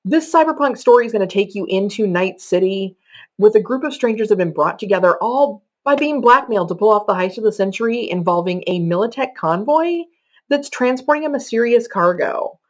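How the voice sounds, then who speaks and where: A woman with a mid-pitched voice presents as a podcaster. She explains things conversationally, working from loose notes in a countdown format. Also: animated, brisk. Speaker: podcaster giving book recommendations. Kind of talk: semi-scripted presentation, list countdown.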